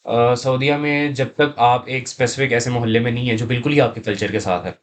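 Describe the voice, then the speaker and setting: A man's voice: medium pitch, steady tone, fairly quick. A young man with a Pakistani accent, speaking as an interview guest in a casual, explanatory way and mixing in English words. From Saudi Arabia, of Pakistani family. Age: young adult. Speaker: interview guest, university student.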